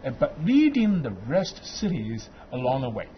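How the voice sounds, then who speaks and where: A man's voice: mid-range voice, moderate pace, dull audio, a little hiss or hum. A man from Beijing, a guest on a studio panel, making his points with emphatic, listing delivery. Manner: animated, emphatic, lists points.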